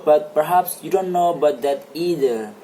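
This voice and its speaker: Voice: medium pitch, moderate pace, warm tone, a little hiss or hum, close to an everyday voice, low-quality recording. An amateur reader playing a talkative, self-satisfied married man in a stage play, with eager, formal phrasing. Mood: eager, assuring. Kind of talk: amateur dramatic play reading.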